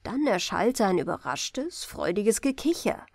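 A woman reading a fairy tale aloud as a bedtime audiobook, calm and gentle in her storytelling. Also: medium pitch, unhurried, gravelly, dry recording, studio quality. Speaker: audiobook reader.

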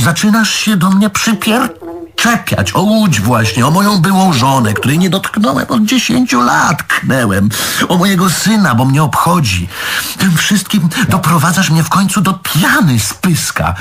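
A man's voice: on the high side, fairly bright tone, husky, heavily acted. An actor playing a bitter, needy middle-aged man in a stage play, arguing emphatically with his lover and catching himself mid-word. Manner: emphatic, self-correcting, swallows curses mid-word.

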